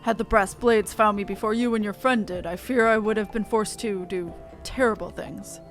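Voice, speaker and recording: A woman plays a stern, honor-bound beastman elder of a fantasy game. The speech is formal, archaic and measured, weighed with solemn duty. Music plays behind the voice. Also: medium pitch, natural voice. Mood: grave. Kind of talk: reading game dialogue aloud.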